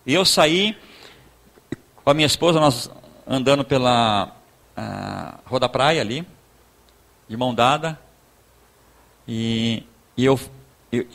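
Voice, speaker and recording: A man with a southern Brazilian accent from Rio Grande do Sul, preaching informally in a conversational, halting storytelling way, repeating words and acting out dialogue. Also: medium pitch, fairly bright tone. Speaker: pastor giving a talk. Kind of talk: informal sermon with personal testimony.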